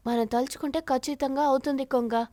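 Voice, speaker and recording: A woman's voice: high voice, steady tone, a little fast, mellow, no room sound, close to an everyday voice. A woman voicing a firm, sensible sparrow who leads a bird flock in a cartoon, earnest and instructing. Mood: resolute.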